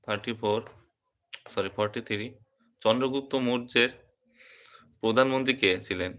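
A man's voice: medium pitch, normal speed, a bit bright. A man teaching a quiz lesson, calling out questions and answers in short, separate phrases. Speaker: quiz teacher at a coaching centre.

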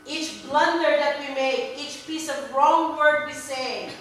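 Middle-aged female preacher, high voice, big echoey hall. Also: slow. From Philippines. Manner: animated, gesturing, repeats words when emphasizing. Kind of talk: sermon. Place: church sanctuary, indoors. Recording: very echoey hall, a bit distant, faint hum.

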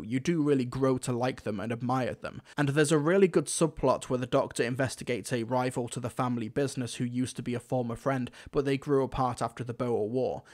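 A man narrates a scripted review in a mid-pitched voice. He speaks as a reviewer, setting out his points in prepared commentary. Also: darkish tone.

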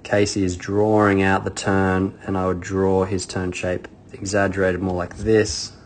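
A man's voice: low-pitched, level tone, a little slow. A man coaching skiing, talking casually over video footage, thinking aloud with many fillers and restarts. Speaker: ski coach. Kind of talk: casual video breakdown commentary.

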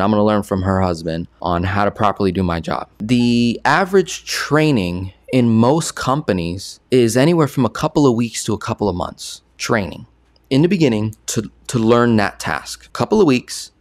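A young man giving casual, energetic talking-head commentary on money into a studio boom mic, repeating himself and gesturing a lot. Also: dry recording. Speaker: personal finance creator. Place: small bright studio. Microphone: boom arm studio mic.

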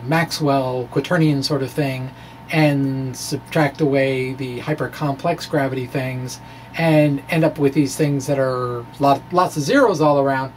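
Slow, deliberate man. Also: on the high side. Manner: deliberate, theatrical phrasing. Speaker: science blogger and presenter. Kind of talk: dramatic reading of a physics blog, explanatory. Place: small indoor room with bookshelves. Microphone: microphone on a stand in front of him. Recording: clear steady background noise.

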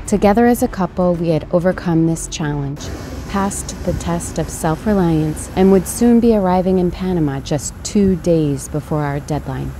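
A woman in her thirties giving calm, reflective scripted narration. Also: fairly low pitch.

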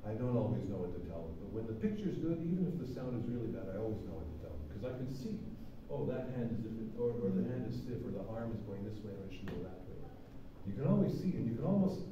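A man's voice: lowish voice, sing-song, fast, dark tone, slight background noise. Middle-aged man, piano clinician, chatty and self-deprecating; distant, roomy, slightly dull classroom recording. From Canada. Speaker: piano teacher giving a guest workshop. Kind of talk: classroom lecture with demonstrations. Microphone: far camera. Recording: echoey classroom, distant mic. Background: piano playing.

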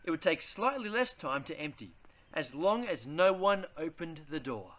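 A man, reading a children's picture book aloud in a deliberate, theatrical way. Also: on the high side, big pitch swings, a bit bright. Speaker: read-aloud host.

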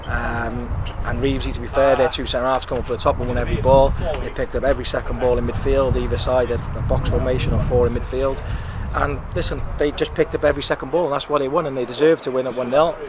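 A man in his thirties, a football club manager, giving a frank, animated post-match interview outdoors beside the pitch, with many "um"s and "you know"s. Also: quick pace, hardly any pauses, crowd noise. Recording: loud outdoor noise with passing traffic.

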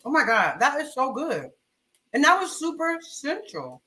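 Bright, high-voiced young woman, casual and chatty. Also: sing-song, a little slow, roomy sound, slight background noise. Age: young adult. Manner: informal, slangy, chatting to viewers while moving around. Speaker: cooking livestream host. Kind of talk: casual chatty livestream while cooking. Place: home kitchen, indoors. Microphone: webcam-style mic at arm's length or farther.

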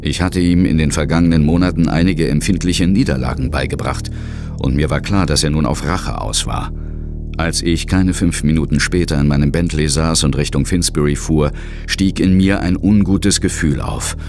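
An actor playing a wry, determined ghost-hunting detective in a German audio drama, speaking and narrating in a measured way. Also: male voice, very deep voice, music in the background. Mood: grave, measured.

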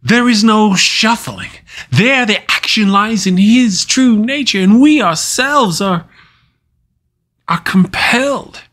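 A man playing a tormented, guilt-ridden usurper king in a Shakespearean stage soliloquy, with dramatic, weighty pauses. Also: high voice, fairly bright tone, heavily acted. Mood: bitter reckoning.

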